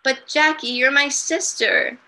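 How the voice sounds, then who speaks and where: A woman's voice: high voice, steady tone, unhurried, pushed and bright, pitched above the performer's own voice, heavily acted, low-quality recording. A woman voicing an upset young storybook girl who pleads emphatically with a sibling. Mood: upset, pleading.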